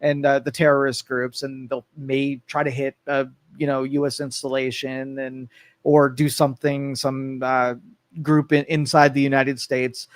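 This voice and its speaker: A man co-hosting a casual political talk show, animated and quick to act out what others might say. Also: medium pitch. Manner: animated, acts out quoted voices.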